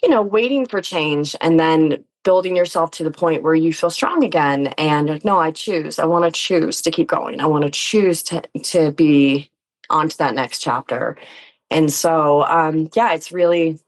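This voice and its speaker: Female interview guest, slightly low register, conversational and reflective, sincere and expressive delivery. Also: a little slow. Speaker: interview guest, actor and musician. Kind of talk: casual reflective interview chat.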